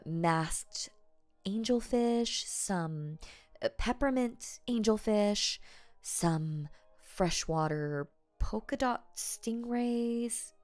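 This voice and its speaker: Woman acting a slow-talking, doting, possessive rich girlfriend. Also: fairly low pitch, no room sound. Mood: teasing, doting. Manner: coaxing, teasing, halting playful asides. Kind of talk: ASMR audio roleplay, spoken straight to the listener.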